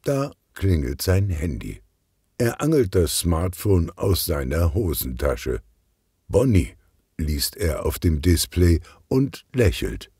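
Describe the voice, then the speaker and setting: A man narrating a children's detective audiobook in an expressive storytelling manner. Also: sits low, slow, husky, no room sound, studio quality.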